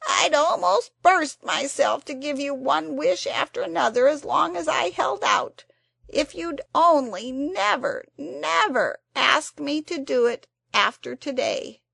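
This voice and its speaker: A cross, sulky little sand creature who grumbles about every wish and goes squeaky when upset, as a woman puts him on. The voice is pitched high and swoops widely, with a gravelly edge. Heard dry and close. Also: huge pitch swings, slow, fairly bright tone, no room sound, exaggerated voice. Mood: tearful. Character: grumpy, self-pitying male sand fairy creature. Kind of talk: storybook character voice.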